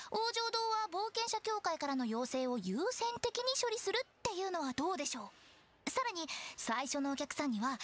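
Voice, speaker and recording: A woman voicing a playful, pushy teenage girl funeral director in a Japanese anime game, with animated sales patter. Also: very high-pitched, a little hiss or hum, heavily acted. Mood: eager, persuasive. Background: game music.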